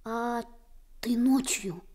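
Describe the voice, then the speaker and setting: An earnest little boy of a gentle household in a Soviet radio play. The voice is very high and mellow, and takes its time over the words. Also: extremely slow. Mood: questioning. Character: earnest, kind-hearted little boy, an admiral's son. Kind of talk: Soviet radio drama acting.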